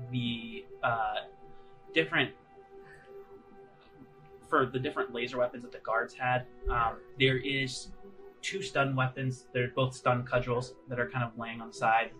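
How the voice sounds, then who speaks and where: A man narrates a tabletop roleplaying game as its game master. His voice sits at a middle pitch, and he builds descriptions haltingly as he goes. Music plays clearly behind him. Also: bright, forceful tone, rough recording.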